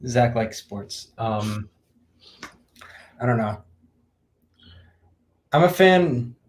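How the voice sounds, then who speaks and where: 20 yr old dude, dry mock-serious jokes, slight hiss in the background. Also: male voice, medium pitch, extremely slow. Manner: deadpan teasing, mock-serious. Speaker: co-host and regular guest. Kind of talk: casual chat.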